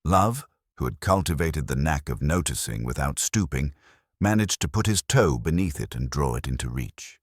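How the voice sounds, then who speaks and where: A man narrating a literary mystery story in a measured, wry, formal manner. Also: very deep voice, no room sound, clean studio sound.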